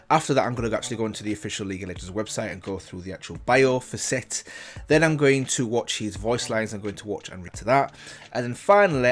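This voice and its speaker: A man giving casual, enthusiastic reaction commentary as a video host, often repeating and rephrasing himself. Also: on the low side.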